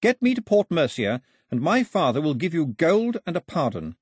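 A male narrator plays a young woman in a storybook adventure. The voice is lifted high and husky-soft, urgent and articulate. She pleads and bargains defiantly with sweeping intonation. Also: very animated, moderate pace, dry recording, clean studio sound. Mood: bold bargaining.

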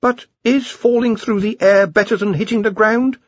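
A man's voice: sits high, fairly even intonation, normal speed, no room sound, highs cut off, voice pushed up. A man voicing an anxious young wizard scholar, hesitant and politely deferential, in a storybook reading. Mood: worried reasoning.

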